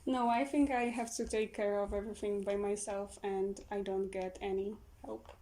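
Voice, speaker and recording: A young woman speaking English as an as a podcast guest, giving brief, halting answers. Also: medium pitch, level tone, dark tone. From Poland. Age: young adult. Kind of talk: casual chat.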